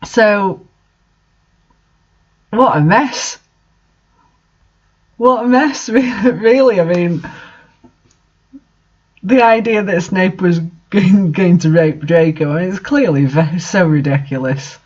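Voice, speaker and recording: A man's voice: sits high, slow pace, long pauses, darkish tone, dull audio. A man reading a story aloud in an amused, comedic way and adding his own commentary. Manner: amused, breaking into laughter while reading. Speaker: reader hosting a bad fanfiction reading series.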